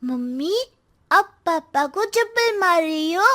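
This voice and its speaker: A lazy, cheeky little son from a comic storytelling piece, put on by a woman. He speaks high and slowly, drawling his answers back to his parents. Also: animated, pushed and bright, exaggerated voice. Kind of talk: acted family comedy story.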